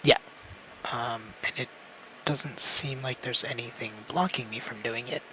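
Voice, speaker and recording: A man's voice: medium pitch, steady tone, unhurried, sharp tone, soft background music, low-quality recording. A man chatting casually as a co-commentator on a game stream. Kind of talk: casual chat.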